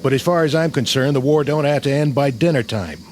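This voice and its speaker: An actor playing a battle-hardened, skeptical army sergeant in a radio drama, plain-spoken, folksy and wry. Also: male voice, mid-range voice, brisk, darkish tone, close to an everyday voice. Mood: blunt, dry.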